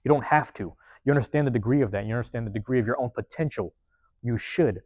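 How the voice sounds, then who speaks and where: A man's voice: mid-range voice, quick pace, a little mellow, no room sound. Slangy man riffing, dry room. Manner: loose, repetitive, slangy street talk. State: voice going hoarse. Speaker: podcast host. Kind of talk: casual motivational monologue. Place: university room.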